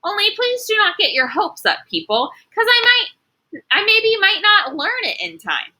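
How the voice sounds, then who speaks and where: A woman's voice: extremely high pitch, huge pitch swings, normal speed, bright, forceful tone, voice pushed up, exaggerated voice. A woman voicing an earnest, anxious first-grade girl telling her own storybook tale in an emphatic, childlike way. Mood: nervous, pleading. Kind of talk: children's storybook read-aloud.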